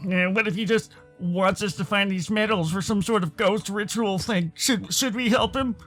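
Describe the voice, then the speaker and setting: A man's voice: high voice, normal speed, a bit bright, soft background music. An American man voicing a wary, argumentative furry animal character in a visual novel, with a hesitant manner. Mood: suspicious, worried. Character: wary, suspicious male furry animal friend.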